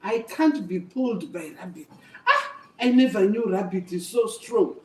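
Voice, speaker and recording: A woman's voice: mid-range voice, moderate pace, roomy sound, recorded from a distance, close to an everyday voice. A woman voicing a big, tired, baffled animal in a storybook tale, grudging and amazed. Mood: stubborn.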